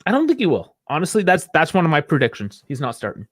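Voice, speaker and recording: A man's voice: on the high side, wildly swooping pitch, fast talker, no room sound, studio quality. A man co-hosting a casual sports call-in podcast, talking in a chatty, rambling way and laughing often. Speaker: podcast co-host.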